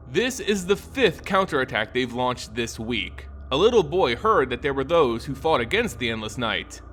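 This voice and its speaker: A man narrating a scripted fantasy story in a dramatic, solemn and measured storytelling manner. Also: on the high side, fairly bright tone. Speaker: lore narrator.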